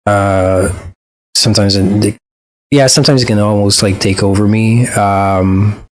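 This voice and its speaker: Man, French accent, fairly low voice, halting delivery, lots of uhs and restarts. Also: fairly even intonation, rough recording. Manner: hesitant, many fillers and restarts. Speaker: reaction video host. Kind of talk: casual reflective monologue.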